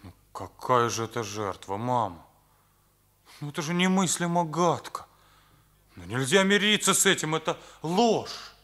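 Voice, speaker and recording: An earnest teenage son, bright forceful voice. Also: male voice, fairly high pitch, big pitch swings, a little slow, pauses often. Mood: insistent. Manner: accusing, emphatic, morally rigid. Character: earnest, indignant teenage son confronting his parents. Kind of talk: Soviet radio play acting.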